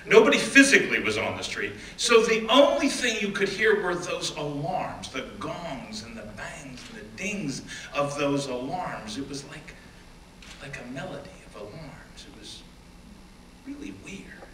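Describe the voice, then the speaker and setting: A man giving a first-person dramatic reading of an oral history, measured and deliberate, often dropping to hushed phrases. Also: fairly high pitch, very animated. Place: stage or event room. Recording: roomy, distant mic with faint background noise.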